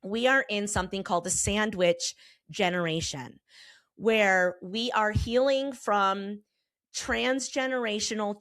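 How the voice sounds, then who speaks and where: A slightly hoarse woman in her forties, a therapist hosting her own podcast, talking in a candid, emphatic way with casual swearing. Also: mid-range voice, a bit bright, no room sound. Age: 40s. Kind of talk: personal podcast monologue. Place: Colorado.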